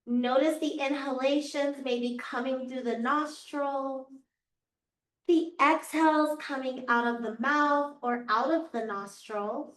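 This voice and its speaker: A woman yoga teacher guiding a class through slow, soothing cues, her voice a little raspy from losing it. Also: high-pitched, steady tone, a bit bright. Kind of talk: guided yoga class instruction. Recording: echoey room, voice from far off.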